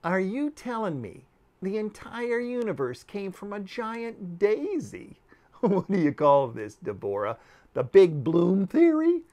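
Man voicing a cheeky, animated young female bee. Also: sits high, slow, pitched above the performer's own voice, heavily acted. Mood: mocking. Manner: teasing, sarcastic, exclaiming. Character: young female honeybee, cheeky, skeptical, averse to change.

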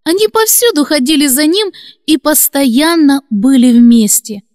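A woman voicing a kindly, patient little girl puppet who hosts a children's show, gently explaining and peacemaking. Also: high-pitched, animated, unhurried, no echo, studio quality. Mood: gently instructive. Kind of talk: children's show hosting and storytelling.

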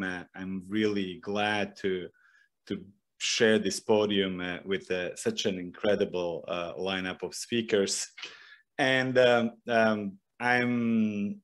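A middle-aged man with a Bosnian accent, giving an informal presentation into a desk microphone in a halting, hesitant way. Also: lowish voice. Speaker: professor and guest speaker. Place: small indoor room. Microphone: desk broadcast microphone on a boom arm.

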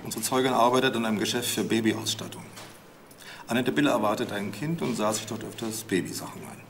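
An actor playing a matter-of-fact investigator laying out evidence in a crime audio drama. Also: male voice, on the low side, quick pace, music in the background, close to an everyday voice. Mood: factual.

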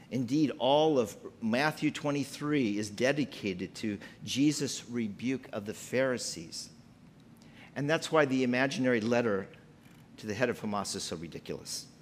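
A man in his fifties preaching a sermon from a church pulpit, emphatic and gesturing as he speaks. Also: a little hiss or hum. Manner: preaching with wide pitch swings, emphatic and gesturing. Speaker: pastor. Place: church sanctuary at a pulpit, indoors.

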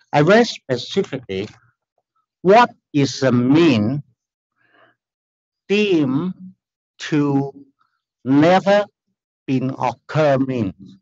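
Middle-aged Chinese-accented man pleading his case at a lectern, slow and earnest, muffled sound. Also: fairly high pitch, lively intonation, a little mellow. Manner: halting non-native phrasing, earnest and insistent. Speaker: applicant appealing a denial. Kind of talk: formal hearing testimony. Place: large hearing room, standing at a lectern.

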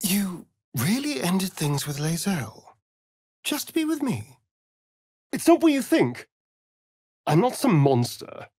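An actor playing a vain, flirtatious, sardonic male vampire in a video game, with an arch, theatrical, breathy delivery. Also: sits high, very animated, slow, long pauses, darkish tone, no echo, studio quality, heavily acted. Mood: pleading. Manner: theatrical, arch, drawling, swinging into breathy murmurs. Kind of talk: dramatic video game voice acting.

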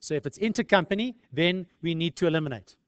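A man's voice: a little high, animated, quick pace, clear, resonant tone, dry recording. A South African man lecturing in an informal tutorial style, conversational and peppered with rhetorical questions. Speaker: accounting lecturer.

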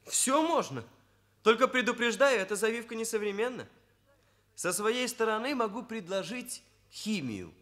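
Earnest, high-pitched young hairdresser. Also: male voice, lively intonation, moderate pace, a bit bright. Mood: confident, matter-of-fact. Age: young adult. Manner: solemn, pedantic, stilted official phrasing. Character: earnest, self-important young trainee ladies' hairdresser. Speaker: trainee hairdresser. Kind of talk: Soviet radio drama acting.

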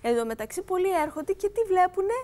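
A woman's voice: extremely high pitch, lively intonation, darkish tone. A woman in her forties, a grooming salon owner, talking eagerly in a TV studio interview on a lapel mic.

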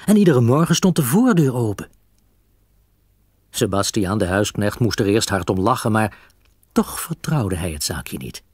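A man tells a children's story in a scripted storybook style. His voice is fairly low and husky, recorded close and dry. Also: big pitch swings, a little slow, pauses often, darkish tone, no echo. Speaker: narrator of a children's audio play.

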